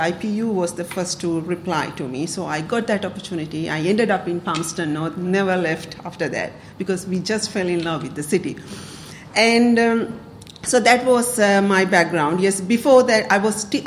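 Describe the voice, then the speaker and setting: A woman's voice: fairly low pitch, normal speed, a little hiss or hum. A woman from Sri Lanka giving a public talk to a club, speaking hesitantly with many fillers and the odd laugh. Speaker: community council speaker.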